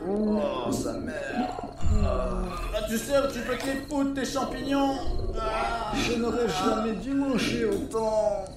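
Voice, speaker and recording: Guy doing sullen minion, slow drawl, super high, echoey, blunt, comedy audio drama. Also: lively intonation, over a noisy crowd. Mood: groaning. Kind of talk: comic audio drama acting. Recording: distant, very echoey, loud music behind.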